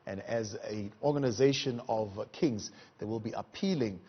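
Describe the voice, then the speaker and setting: A man's voice: lowish voice, moderate pace, muffled recording. A man interviewing a guest as a live news anchor.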